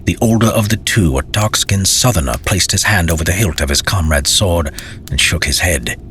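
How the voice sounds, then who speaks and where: Male fantasy audiobook narrator: extremely low register, scripted storytelling, some background noise. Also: normal speed, a bit bright.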